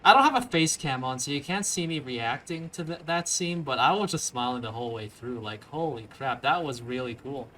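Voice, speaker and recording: A man's voice: mid-range voice, animated, fairly quick, hardly any pauses, bright, forceful tone, a little hiss or hum. A young man giving casual let's play commentary on a video game. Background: faint game music.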